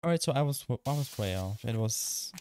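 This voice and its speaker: A man's voice: mid-range voice, lively intonation, normal speed, a little mellow, no echo, clean studio sound. A young man from the Czech Republic with a Czech accent, a streamer chatting casually, reading chat aloud and swearing now and then. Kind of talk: casual live-stream chat while gaming.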